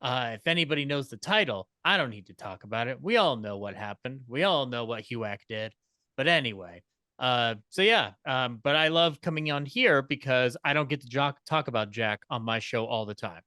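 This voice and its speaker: A man's voice: mid-range voice, expressive, moderate pace, pushed and bright, no room sound. A man talking casually and at length as a podcast panelist, with a wordy, enthusiastic fan's manner.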